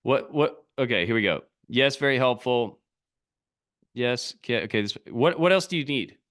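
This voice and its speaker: A man's voice: mid-range voice, lively intonation, normal speed, fairly bright tone. A middle-aged man coaching a group over a video call, talking bluntly in short, punchy, repeated sentences. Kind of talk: motivational talk on a live video call.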